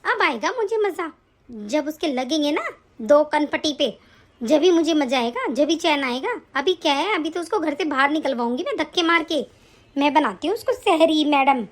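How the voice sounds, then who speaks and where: A woman's voice: sits high, expressive, normal speed. A woman playing a spiteful, scheming, hot-tempered mother-in-law in a family melodrama, scolding and complaining. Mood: gloating. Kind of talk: domestic family melodrama acting.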